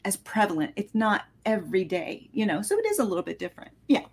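A woman's voice: medium pitch, huge pitch swings, quick pace, slightly rough. A woman speaking conversationally as a storyteller guest on a talk show.

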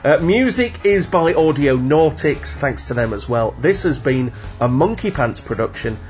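A man hosting a podcast in a casual, rambling chat, bunged up with a cold and a little husky. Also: fairly high pitch, expressive, a little slow, lo-fi sound, soft background music. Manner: rambling, self-correcting, chatty. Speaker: podcast host.

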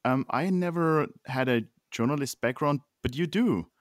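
A man's voice: on the high side, normal speed, dry recording, clean studio sound. Casual middle-aged male interview host. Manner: hesitant, restarts questions with fillers. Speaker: podcast host.

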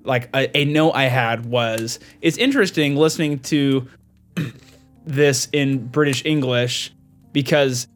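A man's voice: mid-range voice, takes it slowly, faint music behind. A man hosting a podcast, chatting casually about film in a rambling, anecdotal way. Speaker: podcast host. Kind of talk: casual film discussion chat.

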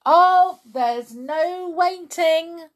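A woman voicing an excitable, overbearing father in a children's story, exclaiming with bossy, impatient enthusiasm. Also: sits high, slow, voice pushed up, heavily acted. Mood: exuberant. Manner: exclamatory, bossy, breathlessly eager.

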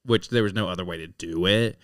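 A man's voice: deep voice, brisk, fairly bright tone, smooth, dry recording, studio quality. A man co-hosting a podcast in casual chat, rambling with restarts and frequent filler words. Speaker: podcast co-host.

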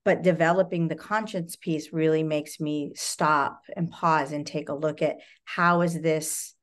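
A woman sharing reflectively in a recovery study meeting over a video call, pausing often with fillers as she searches for words. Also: deep voice, fairly even intonation.

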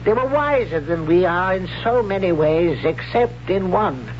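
A fervent old high priest hands down commands in a slow, solemn voice. The old radio broadcast sounds dull and lo-fi, with a faint hiss under it. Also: male voice, animated. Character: stern elderly male high priest, zealous. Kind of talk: 1970s radio drama acting.

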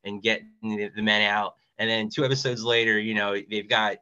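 A man with a very bright, forward voice, chatting as a guest on a panel. He rambles casually and often says "you know". The recording is slightly dull and lo-fi. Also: on the low side, flat delivery, brisk, clean tone. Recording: dull, lo-fi sound.

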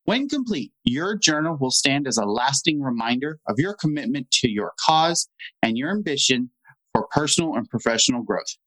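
A man speaking as the host of a podcast, addressing his listeners in clear, organised phrases. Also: medium pitch, sing-song, normal speed, sharp tone, low-quality recording. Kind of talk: conversational podcast hosting.

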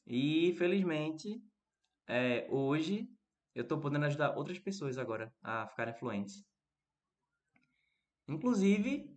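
Brazilian guy from the northeast, kinda high voice, teaching online class super chatty, talking to viewers. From Recife, northeastern Brazil. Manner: chatty, questioning, addressing viewers by name. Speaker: English teacher hosting a live online class. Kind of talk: casual interactive lesson, reading and answering chat messages.

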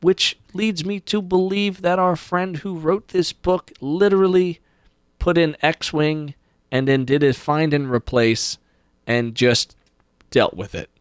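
A man's voice: on the high side, steady tone, slow pace, clean tone, dry recording, clean studio sound. A man hosting a podcast, casually recapping a book with read-aloud passages and opinionated, conversational asides. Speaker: podcast host.

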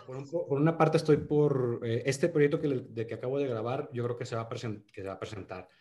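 A man's voice: medium pitch, quick pace, darkish tone. A man in his thirties with a Monterrey accent, chatting as a guest on a podcast, full of restarts and fillers. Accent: northern Mexican (Monterrey). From Monterrey, Mexico. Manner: many restarts and fillers, earnest then joking. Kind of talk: casual interview chat.

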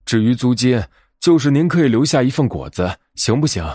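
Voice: mid-range voice, lively intonation, a little fast, dark tone, slightly rough, no echo, studio quality. Man as an eager young bear cub, lilting.

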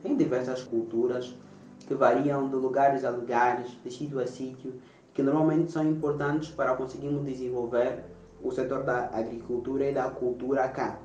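A man's voice: mid-range voice, little pitch movement, moderate pace, a little mellow, slight background noise. A young man from Angola with an Angolan Portuguese accent, a student introducing himself and his country in a friendly, explanatory way. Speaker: student guest. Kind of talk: recorded self-introduction. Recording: roomy, distant, dull audio.

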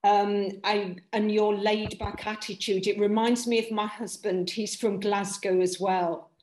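A woman's voice: medium pitch, flat delivery, a little mellow, roomy sound, poor audio. A woman from Nottingham whose first language is Polish, with a hard-to-place accent, politely asking a question as an actor. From England, Nottingham. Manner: slow, polite, many ums. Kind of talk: casual Q&A.

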